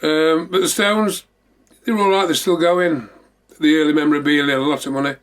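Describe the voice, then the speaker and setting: A man's voice: on the high side, fairly even intonation, normal speed. A man hosting a memorabilia show, chatting casually in a rambling, repetitive way. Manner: rambling, repeating himself. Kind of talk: casual show-and-tell chat.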